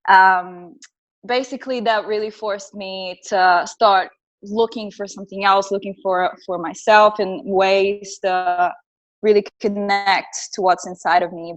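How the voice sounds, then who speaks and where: A woman's voice: mid-range voice, poor audio. Brazilian-accented woman sharing her story. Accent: Brazilian Portuguese. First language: Portuguese. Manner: earnest and reflective. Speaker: podcast guest. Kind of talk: casual interview, personal storytelling.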